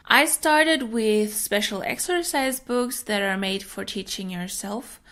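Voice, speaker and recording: A woman's voice: mid-range voice, fairly bright tone. A young adult woman hosting a solo podcast, a native German speaker talking in a friendly, explanatory way. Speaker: podcast host.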